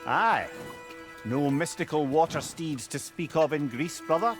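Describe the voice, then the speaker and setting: An actor playing a witty, talkative, learned old advisor in a video game, with a Scottish accent, over music. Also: male voice, a little high, unhurried, close to an everyday voice. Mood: wry, genial. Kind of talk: dramatic video game acting. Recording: music behind.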